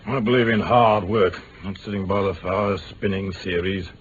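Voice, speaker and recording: An actor playing a hard-headed, impatient police inspector in a mid-century radio drama, blunt and dismissive. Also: male voice, low-pitched, fairly even intonation, moderate pace, natural voice. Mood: scornful. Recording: muffled, noisy old radio recording.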